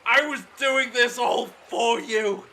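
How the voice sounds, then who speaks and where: Male villain, acted, very high and piercing, slow, halting, crazed and vengeful, faint music underneath. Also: bright, forceful tone, a little hiss or hum, full character voice, rough recording. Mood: bitter, menacing. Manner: melodramatic, menacing, halting. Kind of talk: fan audio drama acting.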